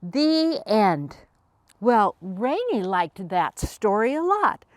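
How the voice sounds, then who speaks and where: A woman hosting a read-aloud for young children, telling a picture book in an expressive, simple storytelling style. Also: medium pitch, slow.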